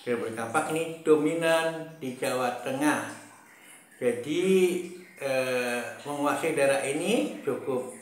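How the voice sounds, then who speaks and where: A man from Central Java giving a casual political monologue, pausing often with fillers and repeating himself, with a chuckle now and then. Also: medium pitch, very echoey, a little hiss or hum, poor audio. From Brebes, Central Java. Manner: slow, hesitant, many fillers and repetitions, chuckles often. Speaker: political commentator.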